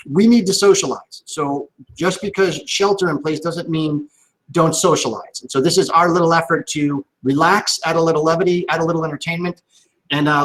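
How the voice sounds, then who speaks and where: A man's voice: on the high side, a bit bright, rough recording. A man hosting a live-streamed interview over a video call, upbeat, chatty and jokey. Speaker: host.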